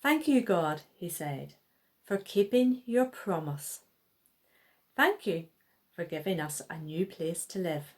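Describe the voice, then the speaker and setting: A woman reads a story aloud for children at a slow, unhurried pace. Her delivery is expressive and her pitch moves widely up and down, bringing out the dialogue as she narrates. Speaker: children's Bible story reader.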